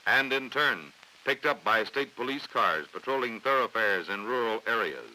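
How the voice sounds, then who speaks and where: American guy doing old 50s instructional film voiceover, super bright punchy voice, formal and authoritative, vintage soundtrack. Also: medium pitch, slight background noise, poor audio. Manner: formal, authoritative, measured delivery. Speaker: documentary narrator. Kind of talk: scripted 1950s training film narration.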